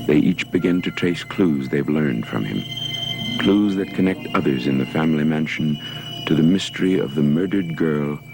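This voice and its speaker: A man's voice: a bass voice, moderate pace, low-quality recording. An actor narrating a gothic soap opera as a solemn, portentous male storyteller, on an old TV soundtrack. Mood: ominous. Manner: ominous, portentous. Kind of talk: dramatic scripted narration. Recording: old TV soundtrack under loud music. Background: loud music.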